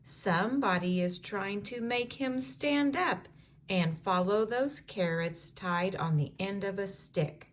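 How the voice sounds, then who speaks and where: A woman reading a children's storybook aloud in an expressive, carefully enunciated storytelling way for young listeners. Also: mid-range voice, slow.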